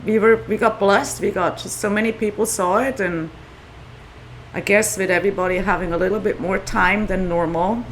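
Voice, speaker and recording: A woman's voice: mid-range voice, normal speed, a little hiss or hum, rough recording. A woman from Switzerland with a Swiss accent, a guest telling stories in a casual podcast interview. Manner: animated storytelling with quoted dialogue. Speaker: guest, non-profit founder.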